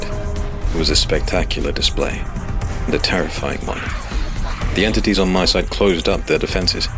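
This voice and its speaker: A man with an extremely low voice narrates a fantasy story in the first person. His reading is scripted, serious and dramatic, with music clearly playing behind him.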